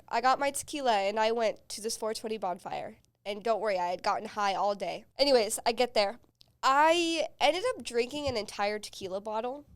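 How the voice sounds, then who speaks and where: A woman's voice: a little high, smooth. A young woman hosting a podcast, telling casual stories with frequent laughs and swearing. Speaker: podcast host. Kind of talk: casual chat.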